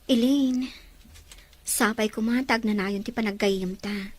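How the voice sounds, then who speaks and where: A woman acting a forbearing, soft-hearted young wife in a drama. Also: fairly high pitch, steady tone, a little slow, no room sound. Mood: earnest, animated. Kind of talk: Ilocano-language drama dialogue.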